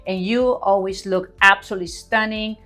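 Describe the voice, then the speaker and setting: A woman's voice: medium pitch, steady tone, normal speed, fairly bright tone. A woman hosting a podcast interview in a studio, gushing and effusive, heaping compliments on her guest. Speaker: podcast host.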